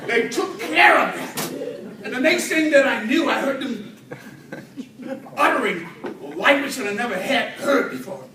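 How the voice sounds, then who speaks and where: A man delivering a humorous tall-tale speech to an audience in a theatrical way, with dramatic pauses and swells. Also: very high voice, a crowd in the background. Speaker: speech contest storyteller at a Toastmasters club. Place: indoor room with an audience. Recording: roomy, distant mic, faint noise.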